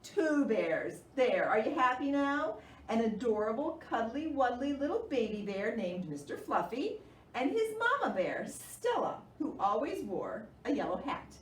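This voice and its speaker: Animated high-voiced woman reading to children. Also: measured pace. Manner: animated, expressive, with theatrical gasps. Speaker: children's librarian and storytime host. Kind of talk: read-aloud storytime for young children. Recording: roomy, distant mic, faint noise.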